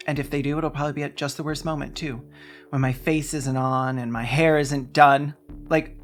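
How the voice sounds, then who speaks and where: A video essayist narrating a script with sarcastic, deadpan comic asides. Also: mid-range voice. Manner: sarcastic, wry deadpan jokes.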